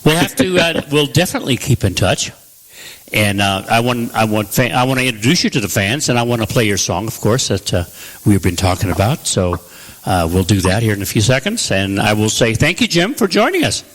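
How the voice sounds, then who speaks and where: A man's voice: lowish voice, sing-song, a little fast, fairly bright tone, poor audio. A man hosting a radio interview show, asking questions in a friendly, encouraging way. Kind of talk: casual interview chat.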